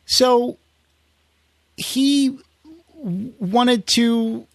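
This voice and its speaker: A man hosting a podcast in a casual conversational way, halting and rephrasing as he thinks aloud. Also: extremely high pitch, gravelly, dry recording. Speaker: podcast host.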